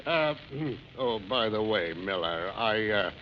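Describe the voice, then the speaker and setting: A confident senator in an old radio comedy, dull sound with a bit of hiss. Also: male voice, fairly low pitch, animated, slow. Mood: assured, discreet. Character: authoritative, self-assured male senator and theater owner. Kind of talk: 1940s radio comedy acting. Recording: muffled noisy 1940s radio broadcast.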